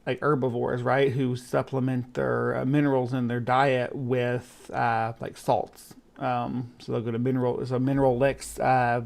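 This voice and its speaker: A man's voice: medium pitch, little pitch movement, unhurried, gravelly. A man hosting a casual live stream, talking in a rambling way with many fillers and restarts. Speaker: stream host.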